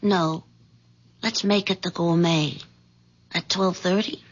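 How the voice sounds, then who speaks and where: A woman's voice: fairly low pitch, steady tone, takes it slowly. An American woman acting a scheming, seductive femme fatale in a 1970s radio drama, coaxing and commanding. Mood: confident, coaxing. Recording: dull lo-fi 1970s radio recording.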